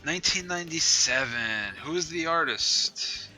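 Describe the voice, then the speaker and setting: A man's voice: on the high side, expressive, measured pace, bright, forceful tone, poor audio. A man co-hosting a podcast in casual, sweary banter, often incredulous and prone to laughing. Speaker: podcast co-host. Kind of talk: casual comic-review banter. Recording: music playing behind the voice.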